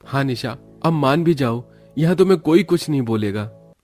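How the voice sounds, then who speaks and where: A man's voice: medium pitch, animated, normal speed, a little mellow, close to an everyday voice. A man plays an earnest young man in a cartoon tale. His voice is mid-range, mild and sincere, with faint music behind. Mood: reassuring. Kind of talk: Hindi cartoon story dialogue.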